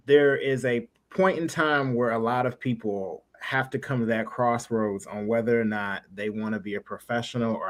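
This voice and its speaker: A man hosting a casual interview, rambling his way around to each question. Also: medium pitch. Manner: rambling, circles around questions before landing them. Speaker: interview host.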